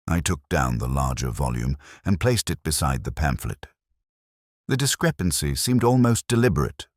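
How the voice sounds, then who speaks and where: A man with an extremely deep voice narrates a literary mystery in the first person. His delivery is measured and reflective, yet the pitch moves expressively across phrases. The sound is dry, with no room on it. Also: no echo.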